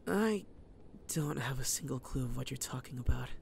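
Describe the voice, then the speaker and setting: A woman playing a captured male serial killer in a scripted audio roleplay, husky, evasive and dramatic. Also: rumbling low, expressive, normal speed, a little mellow, slight background noise, exaggerated voice. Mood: sly, evasive.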